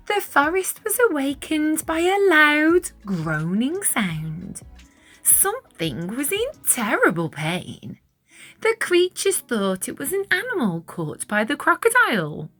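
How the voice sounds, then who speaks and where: Woman storyteller, slow deliberate pace, very animated intonation, children's picture book narration, music behind. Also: sits high. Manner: deliberate, dramatic storytelling with stressed words. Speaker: read-aloud storyteller for children.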